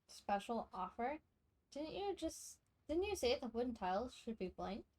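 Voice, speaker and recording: A woman's voice: a little high, big pitch swings, normal speed, a little mellow, slight background noise. A woman game streamer making casual, offhand reactions during a live stream. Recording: game music underneath.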